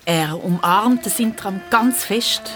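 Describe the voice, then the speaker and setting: A woman with a Swiss German accent, narrating a children's radio play in an expressive storytelling manner. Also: mid-range voice, slow pace. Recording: music behind the voice.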